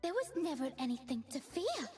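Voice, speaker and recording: A woman voicing a shy, whimsical young fawn dream spirit from a video game, soft, sweet and timid, with music behind her. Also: high voice, very animated, a little fast. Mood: dreamy, hushed. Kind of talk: video game character voicelines.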